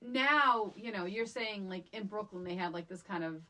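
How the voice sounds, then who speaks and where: A woman's voice: mid-range voice, normal speed, sharp tone. A woman co-hosting a podcast, chatting casually and reacting with emphatic exclamations. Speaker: podcast co-host. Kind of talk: casual chat, reacting to co-host.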